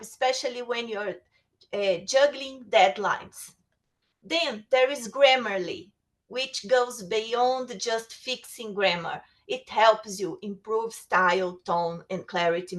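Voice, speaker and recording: A woman's voice: medium pitch, takes it slowly. A woman whose first language is Portuguese, presenting a webinar lecture on writing tools, reading prepared text with small restarts. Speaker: webinar speaker.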